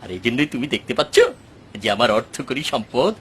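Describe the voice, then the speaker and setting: A man voicing an arrogant, boastful rich landowner in an animated moral story, with a theatrical delivery. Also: mid-range voice, brisk, a little hiss or hum, lightly acted. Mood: boastful, mocking. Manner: theatrical, swinging from mocking to wailing. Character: arrogant, boastful rich man who owns two orchards.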